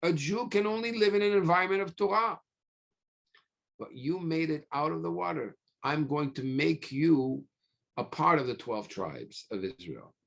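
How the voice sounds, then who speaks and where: A man preaching as a rabbi, emphatic, with frequent pauses, repeated phrases and rhetorical questions. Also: a little high, gravelly, fairly bright tone. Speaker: rabbi giving a religious lecture. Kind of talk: sermon, teaching through scripture stories.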